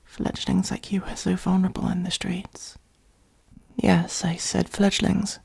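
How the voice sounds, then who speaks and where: A woman playing a gentle, protective vampire in a comforting ASMR roleplay, whispering softly and breathily with patient reassurance. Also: lowish voice, flat delivery, a little slow, full character voice. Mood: soothing, concerned. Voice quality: breathy, creaky. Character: gentle, protective adult vampire caring for a fledgling.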